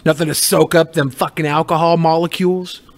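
A man co-hosting a show in casual, profane comedic chat, joking crudely and excitably. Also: a little high, brisk. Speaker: show co-host.

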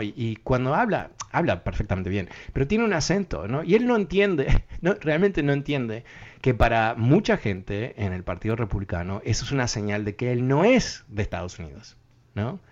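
A man's voice: medium pitch, darkish tone. A man hosting a radio talk show, giving earnest commentary and thinking aloud with many hesitations and fillers. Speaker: radio host. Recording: muffled lo-fi radio broadcast.

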